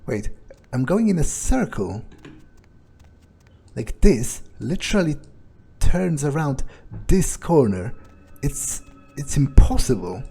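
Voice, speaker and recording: A man's voice: mid-range voice, gravelly, mellow, husky, full character voice. A man role-playing a cocky, foul-mouthed fledgling vampire in improvised video game commentary, muttering hesitantly and swearing casually. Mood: baffled, alarmed. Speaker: game streamer role-playing his character. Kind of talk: in-character improvised gameplay commentary.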